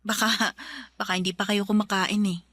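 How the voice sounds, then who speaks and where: An actress playing a feisty, expressive young Filipino woman, a girlfriend and daughter, in a Tagalog radio drama. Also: mid-range voice, normal speed, no echo, close to an everyday voice. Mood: subdued.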